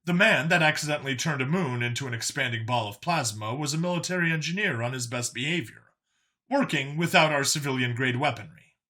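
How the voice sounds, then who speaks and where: A man's voice: medium pitch, a little fast, sharp tone. A man reading a scripted science fiction story as a dry, wry briefing, in an expository narrator's delivery. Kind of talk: scripted dramatic story narration as an alien official giving a briefing.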